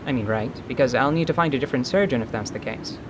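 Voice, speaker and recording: Woman playing a deadpan, commanding mercenary leader. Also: a bass voice, noisy background. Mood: wry, chiding. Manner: deadpan, deliberate, authoritative. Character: wry, commanding female mercenary leader with dry dark humor. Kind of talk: radio theatre character acting.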